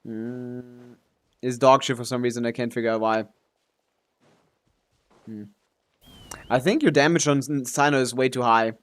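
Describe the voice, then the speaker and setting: A man streaming a game live, with an average-pitched voice. He talks offhandedly, thinking aloud as he answers chat. His commentary is casual, with some loose swearing. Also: fairly even intonation, measured pace, pauses often, smooth.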